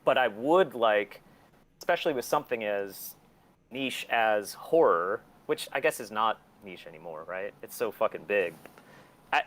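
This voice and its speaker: A man's voice: lowish voice, lively intonation, unhurried, fairly bright tone. Blunt, sweary man in his forties. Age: 40s. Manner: blunt, heavily sweary, opinionated. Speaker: interview guest, record label and museum owner. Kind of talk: casual candid conversation.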